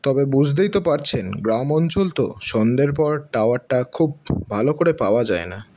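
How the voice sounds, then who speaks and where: A man's voice: medium pitch, expressive, normal speed, warm tone, lightly acted. An actor playing a deferential middle-aged male caretaker of an old mansion in an audio drama. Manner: deferential, polite, calls others sir.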